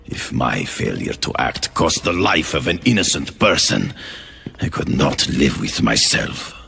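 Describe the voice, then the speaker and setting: A male actor playing a proud, theatrical, aging hunter villain in a video game, gravelly and speaking in grand, formal, deliberate phrases. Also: rumbling low, very animated, sharp tone, slight background noise, exaggerated voice. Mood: solemn, proud.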